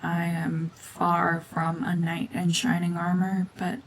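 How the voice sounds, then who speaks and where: A woman acting a cold, domineering mutant witch in an ASMR roleplay drama, hushed and often whispering, with terse, guarded commands. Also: on the low side, completely flat delivery, takes it slowly, slight background noise, poor audio. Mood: guarded, softening. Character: renegade mutant cyborg gorgon witch, female, cold, domineering, slowly softening.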